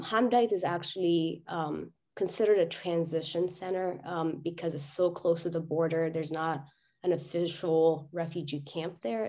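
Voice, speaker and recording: Young female doctor as guest presenter, lowish voice, slightly hoarse from a cold. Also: darkish tone. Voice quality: slightly raspy. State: getting over a cold, voice worn from chanting. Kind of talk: presentation with slides. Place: online video conference.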